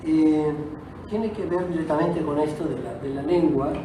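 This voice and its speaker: A man's voice: fairly high pitch, fairly even intonation. Echoey, hesitant man, native Italian speaker. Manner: hesitant, reflective, pausing to reword. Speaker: guest author, poet. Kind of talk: unscripted public talk. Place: auditorium at a book fair. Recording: distant echoey auditorium, noisy background.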